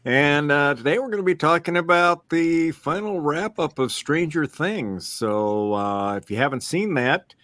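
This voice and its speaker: A man's voice: a little high, lively intonation, unhurried, few pauses, fairly bright tone, no room sound. A man hosting a casual podcast chat in a rambling, hesitant way. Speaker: podcast host.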